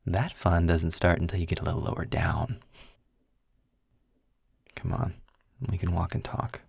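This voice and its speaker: A man playing a snarky, weary, well-spoken demon guide in an intimate audio roleplay, with a husky, gravelly voice and a dry, wordy manner. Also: low-pitched, little pitch movement, no echo, exaggerated voice. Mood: wry, patient. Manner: sarcastic, wordy, dry, deliberate. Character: snarky, weary, erudite male demon guide trapped in hell.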